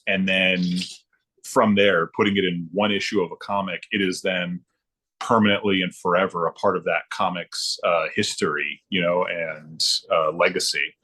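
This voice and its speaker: A man with a very low, husky voice talks casually as a podcast guest. He rambles in a halting way, repeating words and dropping in frequent ums as he goes. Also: little pitch movement, pushed and bright. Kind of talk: casual chat about hobbies.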